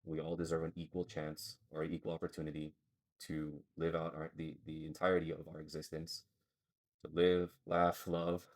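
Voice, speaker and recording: A man's voice: rumbling low, completely flat delivery, moderate pace. A man co-hosting a casual podcast chat, thinking aloud and rambling as he searches for his words. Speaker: podcast co-host.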